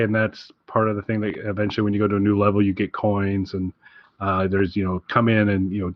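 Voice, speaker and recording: A man's voice: lowish voice, no room sound, muffled recording. A man chatting casually and enthusiastically as a podcast co-host, rambling through a game recommendation.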